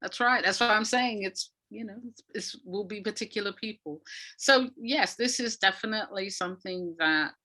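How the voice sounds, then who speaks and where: A woman speaking as an interview guest, answering conversationally in a reflective, emphatic way with pauses between phrases. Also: medium pitch, pushed and bright. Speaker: interview guest, arts practitioner.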